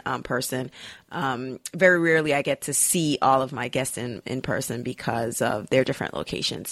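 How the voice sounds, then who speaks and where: A woman's voice: low voice, a bit bright, no room sound, studio quality. A woman hosting a podcast, chatty and upbeat, with many fillers as she introduces her guest. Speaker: podcast host. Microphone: studio mic.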